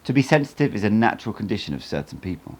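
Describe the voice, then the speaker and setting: A man voicing an old sorcerer teacher in an audiobook, wise and teasing, with a measured, cryptic and blunt way of talking. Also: fairly low pitch, sing-song. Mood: matter-of-fact.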